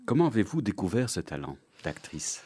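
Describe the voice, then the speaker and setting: Male host, lowish voice, calm formal questioning. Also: brisk, breathy edge. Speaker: radio interviewer, host. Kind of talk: interview questions.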